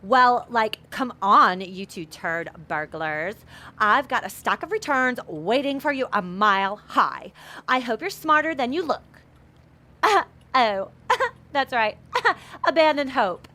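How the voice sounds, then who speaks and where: A woman's voice: fairly high pitch, animated, takes it slowly, bright, forceful tone, exaggerated voice. A woman playing a snide, bossy valley-girl pageant queen in an audio drama, with a sneering drawl.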